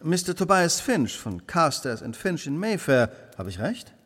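An actor playing a keen, determined Victorian gentleman detective in a radio play, with precise, polite diction. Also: fairly high pitch, sing-song, measured pace, heavily acted. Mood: politely probing.

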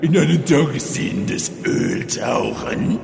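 A man's voice: on the high side, wildly swooping pitch, slow pace, gravelly, a little mellow, heavily acted. A man playing a theatrical undead arch-villain in a comic fantasy audio drama, hammy and comically domestic. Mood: smug, scheming. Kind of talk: German audio drama parody. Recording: echoey hall reverb, faint noise.

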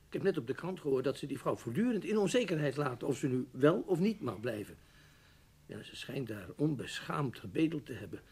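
An actor playing an anxious, gloomy middle-aged husband in a radio play, speaking in a fretful, wordy, hesitant way. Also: male voice, mid-range voice, sing-song, a little fast, darkish tone, slightly rough, exaggerated voice. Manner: fretful, stammering, wordy and formal. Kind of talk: Dutch radio drama acting.

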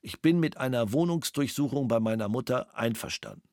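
A man's voice: medium pitch, animated, brisk, darkish tone, dry recording, natural voice. A male witness, read by an actor, giving a formal, matter-of-fact statement for a radio play. The voice is close and completely dry. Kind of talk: reading a police statement.

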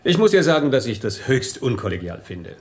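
A man's voice: medium pitch, big pitch swings, fairly quick, lightly acted. An actor playing a reproachful, prim male office colleague in a radio play, sounding peevish and formal. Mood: miffed, reproachful. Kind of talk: German radio play acting.

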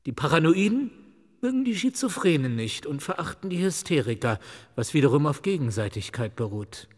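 In a long, echoing space, a clinic doctor in a radio play. His male voice sits a little high. He explains formally and proudly, like a guide. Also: very animated, a little fast. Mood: proud, instructive. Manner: formal, lecturing. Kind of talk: radio drama dialogue. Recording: long reverberant hall echo.

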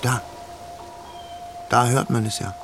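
An actor playing a melancholy, loyal companion in a radio play, reflective and wistful. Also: male voice, medium pitch, steady tone, slow, vocal fry, over music. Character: melancholy loyal companion, left behind, recalling their story. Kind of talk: German radio play acting.

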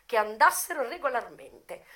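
A woman's voice: a little high, sing-song, normal speed, bright, forceful tone. An actress playing a brazen, worldly American brothel madam in a theatrical radio monologue, drawing out her words and savouring every list. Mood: confiding, matter-of-fact. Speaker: stage actress. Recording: music playing behind the voice.

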